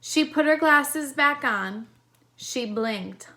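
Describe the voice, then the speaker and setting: A woman reading a children's story aloud in a deliberate way, pausing to explain the pictures to young listeners. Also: high voice, animated, pushed and bright. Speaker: storytime reader, teacher.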